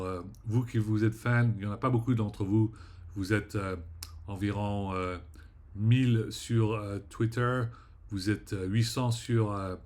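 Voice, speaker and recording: A French-speaking man rambling through a casual vlog monologue, halting and full of fillers, often trailing off quietly at the ends of phrases. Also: fairly low pitch, slight background noise. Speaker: vlogger.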